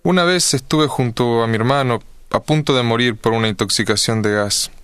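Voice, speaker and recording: Brief: man, mid-pitched, earnest read testimony, dry sound. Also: dry recording. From Argentina. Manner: earnest, steady storytelling.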